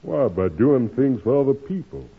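An actor playing a kindly, earnest preacher who gives moral counsel in a measured, sermon-like way, in 1940s radio drama style. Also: male voice, mid-range voice, sing-song, husky. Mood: earnest, preaching. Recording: tinny old radio broadcast.

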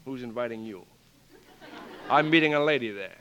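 An actor in a 1940s radio drama playing a cocky, flirtatious aviator, teasing, breezy and wry. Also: male voice, medium pitch, moderate pace, pushed and bright, highs cut off, some chatter behind, slight background noise, lightly acted. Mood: teasing, smooth. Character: cocky, flirtatious male aviator fresh out of jail. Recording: 1940s radio broadcast, music behind.

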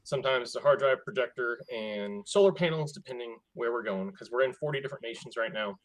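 A man's voice: medium pitch, quick pace, rough recording. A man in ministry talking casually about his missions work over a video call. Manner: relaxed, chatty, loose and informal. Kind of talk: casual explanation.

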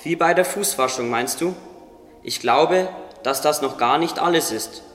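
A man's voice: fairly high pitch, a little slow, a bit bright. A man playing a wise, modest winged creature who encourages a friend, earnest and instructive, in a religious radio play. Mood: reverent, teaching. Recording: echoey, long reverb, noisy ambience.

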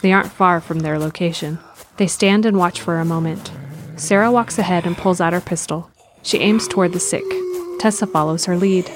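A woman's voice: fairly low pitch, moderate pace, noisy background. A woman narrating a scripted audio drama in the present tense, describing the action.

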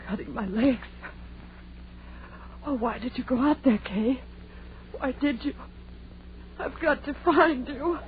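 An actress playing a frightened, pleading young woman in 1930s radio horror, melodramatic and given to repeating herself, on a muffled, noisy old recording. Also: on the high side.

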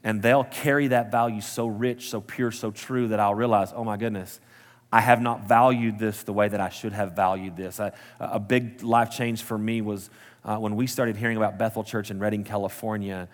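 Earnest pastor, low voice. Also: male voice, steady tone, moderate pace, long reverb tail. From Texas. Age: 30s. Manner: conversational, self-correcting, earnest. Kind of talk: sermon. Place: church sanctuary.

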